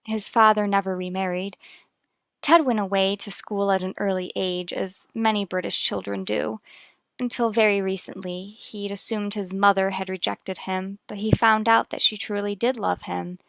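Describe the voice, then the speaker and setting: A troubled, earnest mother from a vintage radio serial. Her woman's voice sits at a middle pitch in a very dry space. She confides carefully and hesitantly, choosing her words. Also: fairly even intonation, normal speed, creaky, a bit bright, no room sound. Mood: troubled, confiding. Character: worried, earnest young mother confiding about her son. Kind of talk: vintage radio soap opera acting.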